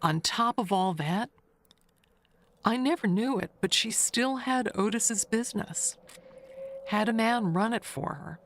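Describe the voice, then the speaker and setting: Actress as slow-talking older woman, gossipy and confiding. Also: mid-range voice, animated, fairly bright tone, slight background noise. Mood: gossipy, amazed. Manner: confiding, rambling, self-correcting. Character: chatty older woman neighbor gossiping, secretly wistful. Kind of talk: audio mystery monologue.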